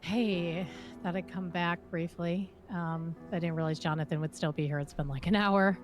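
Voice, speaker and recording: Woman playing wry, low-voiced casual friend. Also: steady tone, normal speed, music in the background, close to an everyday voice. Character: casual, teasing female friend of the prisoners. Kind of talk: improvised online roleplay.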